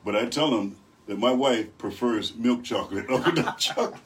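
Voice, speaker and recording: An older man from Rochester, New York with a deep voice, speaking as an evangelist guest in a radio studio, telling stories with good humor. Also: normal speed. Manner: good-humored, rambling storyteller. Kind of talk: radio interview. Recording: distant from the mic.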